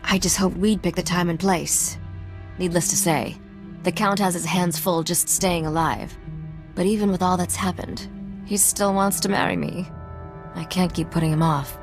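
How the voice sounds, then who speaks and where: A woman's voice: fairly low pitch, steady tone, moderate pace, music in the background, close to an everyday voice. An actress playing a sly, sarcastic young woman smuggler and royal heir in a video game, wry, teasing and self-assured. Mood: serious, wry. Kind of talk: scripted video game dialogue.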